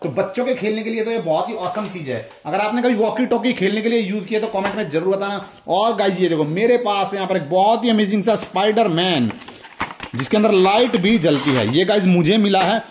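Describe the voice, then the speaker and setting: A man's voice: high-pitched, fairly quick, a little hiss or hum. An Indian man hosting a toy review, enthusiastically showing items and repeatedly addressing his viewers. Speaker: toy review channel host. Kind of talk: excited toy unboxing commentary.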